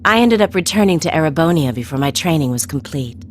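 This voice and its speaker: Coy, teasing, low-voiced woman villain. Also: animated, fast talker, a little hiss or hum. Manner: coy, teasing, sing-song. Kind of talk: video game voice acting.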